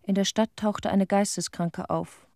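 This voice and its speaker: An actress playing a sober nature inspector, giving a plain, restrained recollection as a monologue in a German radio play. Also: female voice, mid-range voice, fairly even intonation, a little slow, a little mellow, no room sound, clean studio sound, natural voice. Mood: quiet recollection. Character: sober female nature inspector quietly recalling a disaster.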